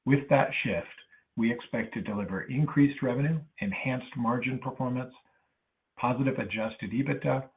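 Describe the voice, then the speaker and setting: A man in the role of a company finance executive reads a formal, prepared presentation. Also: lowish voice. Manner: formal, reading prepared remarks. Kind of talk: scripted earnings call presentation. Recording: muffled narrow phone line.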